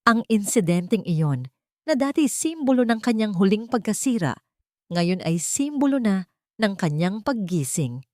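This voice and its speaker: A woman reads a scripted dramatic story in a measured, solemn way, phrase by short phrase. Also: mid-range voice, a little mellow, no room sound, studio quality. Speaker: story narrator.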